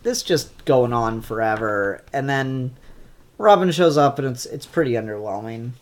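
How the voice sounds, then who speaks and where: A congested, stuffed-up voice drawls along at a slow, easy pace. This comic reviewer talks offhand and unscripted, full of ums and half-started sentences. The pitch sits in an ordinary middle range. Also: lively intonation. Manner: unhurried and offhand, many ums and restarts. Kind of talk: casual spoken comic review.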